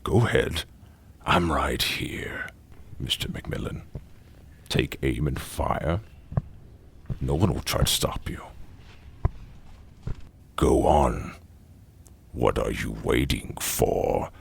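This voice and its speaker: An actor playing a suave, sinister cannibal gentleman in a horror audio drama, gravelly, theatrical and mockingly polite, savouring each cruel word. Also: very deep voice, takes it slowly, pauses often, slight background noise, exaggerated voice. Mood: taunting. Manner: theatrical, mock-polite, savouring his words.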